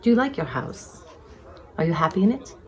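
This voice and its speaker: A woman's voice: mid-range voice, big pitch swings, normal speed, a little mellow, natural voice. A woman playing an arch, flirtatious, inquisitive single woman in a dramatized novel. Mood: coy, probing. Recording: chatter of a crowd behind. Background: crowd chatter, pub ambience.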